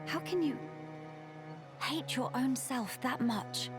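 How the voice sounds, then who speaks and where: A young imperial heiress in a video game cutscene, gentle and regal. She speaks very slowly and formally, with stately measure. Faint music sits behind her. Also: high voice. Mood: pained, concerned.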